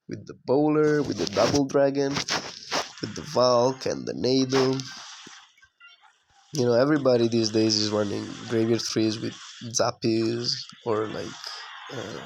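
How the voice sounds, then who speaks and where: A young man with a hoarse voice from being sick, giving casual, relaxed commentary over his own gameplay with lots of fillers. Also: mid-range voice, a little hiss or hum. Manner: relaxed, conversational with frequent fillers.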